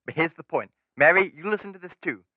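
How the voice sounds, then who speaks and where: A teenage student actor playing a brash, pushy businessman making a pitch over the telephone in a radio drama. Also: expressive, fast, dry recording. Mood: eager, pushy. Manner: pushy, emphatic. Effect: telephone filter. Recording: tinny dry phone-line sound.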